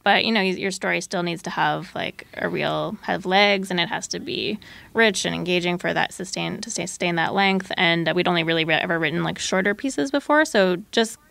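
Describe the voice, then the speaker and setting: A woman's voice: on the low side, fairly quick, gravelly, fairly bright tone, dry recording. A woman speaking as a podcast producer in a casual interview, tentative and hedging, sprinkling in "like" and "you know".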